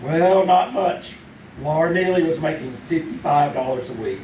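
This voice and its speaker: An actor playing an aggrieved, sarcastic police captain with emphatic delivery in a live old-time radio drama. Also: male voice, a little high, normal speed, a little mellow, lightly acted. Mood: matter-of-fact, smug. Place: theater stage, performed live. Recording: distant, echoey live stage, faint noise.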